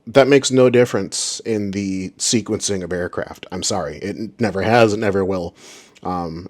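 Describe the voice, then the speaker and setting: A man's voice: fairly low pitch, a little mellow. A man giving informal commentary as an aviation audio reviewer, with an exasperated, sarcastic way of ranting. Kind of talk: informal commentary on radio recordings.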